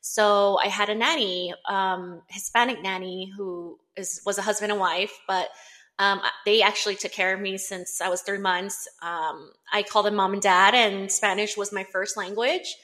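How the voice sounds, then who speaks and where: A woman's voice: medium pitch, fairly even intonation, moderate pace, bright, forceful tone. A woman from Southern California, a podcast guest, talking in a chatty, giggly way. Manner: chatty and giggly, with many fillers. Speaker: guest business owner. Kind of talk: casual podcast interview.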